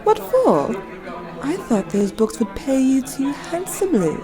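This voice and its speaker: A woman's voice: sits high, big pitch swings, unhurried, a crowd in the background. A woman playing an envious, irritable Victorian woman writer in an audio drama, theatrical and sardonic. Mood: feigned interest.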